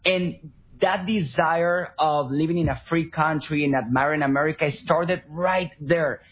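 Husky man, higher-pitched voice. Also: unhurried. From Venezuela. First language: Spanish. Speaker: talk show guest. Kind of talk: personal storytelling in an interview. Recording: dull lo-fi radio sound.

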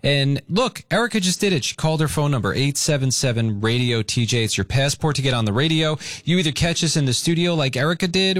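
Joking radio host guy, bouncy pitch, dry close mic. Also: dry recording. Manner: lively, joking, playful. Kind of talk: casual radio call-in chat.

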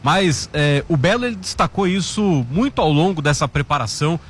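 A man's voice: fairly high pitch, animated, moderate pace, husky. A man with a Brazilian accent hosting a sports talk show, chatty and often asking the others to agree. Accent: Brazilian Portuguese.

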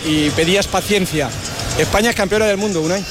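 A man's voice: high voice, brisk. A man with a Spanish accent working as a live post-match sports reporter. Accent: Spain. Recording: music and crowd noise behind.

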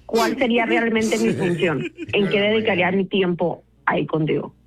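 A woman on a phone call, calm and composed, asking patient, businesslike questions. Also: medium pitch, moderate pace, a crowd in the background. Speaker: prank call target. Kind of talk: phone conversation on radio. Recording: dull dry radio phone audio.

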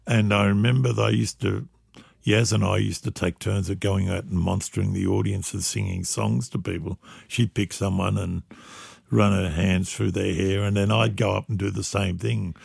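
An older man with a gravelly, husky voice, telling lively stories about his band days and laughing often. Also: sits low, moderate pace, no echo, clean studio sound. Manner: animated storyteller, laughs often. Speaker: musician guest. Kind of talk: reminiscing interview chat.

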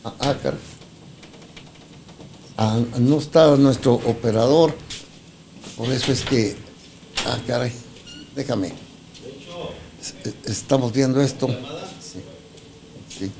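A man's voice: mid-range voice, takes it slowly, vocal fry, pauses often, a crowd in the background. A man with a Mexican accent hosting a radio show in casual talk, rambling and repeating himself. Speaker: radio host.

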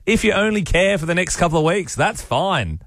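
A man co-hosting a breakfast radio show, running a quiz with enthusiastic, quick banter. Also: sits high, lively intonation, fairly bright tone, dry recording, studio quality. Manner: enthusiastic, quick-talking quizmaster. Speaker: radio co-host.

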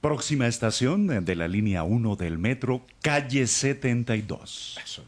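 Man, low husky voice, lively pitch, good-humored. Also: normal speed. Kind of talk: casual group chat.

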